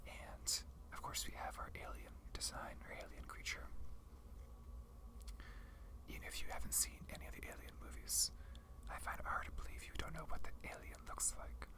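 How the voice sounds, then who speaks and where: ASMR reviewer, whispered delivery throughout, conversational and loosely rambling, with halting phrasing. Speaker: video host.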